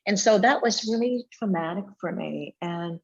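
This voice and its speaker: A middle-aged woman from Vietnam, a chef and restaurant owner, talking casually in an interview with many pauses and fillers. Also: mid-range voice, vocal fry, husky, muffled recording.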